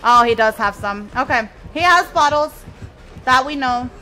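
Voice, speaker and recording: A woman's voice: high voice, lively intonation, normal speed, bright, forceful tone, poor audio. A woman whose first language is Spanish, streaming live gameplay and chatting with her viewers while she plays. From Mexico. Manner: chatty, reads and answers chat while playing.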